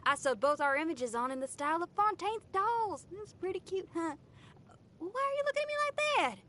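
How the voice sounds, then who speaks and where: Bright, high-pitched rocker girl. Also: very animated, brisk, heavily acted. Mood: cheerful, bashful. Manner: slangy, exuberant, hammy. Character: upbeat, brash young female rock musician. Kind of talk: video game character voice lines.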